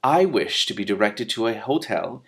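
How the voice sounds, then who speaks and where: A man's voice: lowish voice, lively intonation, fairly bright tone. Man playing an over-careful, polite foreign tourist. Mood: earnest, halting. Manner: halting, over-careful phrasebook delivery. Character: naive polite male foreign tourist, mild and eager.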